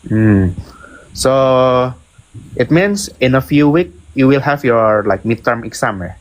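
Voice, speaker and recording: A man's voice: medium pitch, animated, slow pace, a little hiss or hum, low-quality recording. Young indonesian guy on a live stream, playful, lots of uh and like. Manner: playful, hesitant, many fillers, switches into Indonesian. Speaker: livestream co-host. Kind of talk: casual livestream chat.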